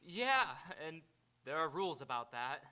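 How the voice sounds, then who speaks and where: A man's voice: on the high side, very animated, normal speed, pushed and bright, smooth. A young man playing a candid, gallant, earnest suitor in live radio theatre. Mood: flustered, earnest.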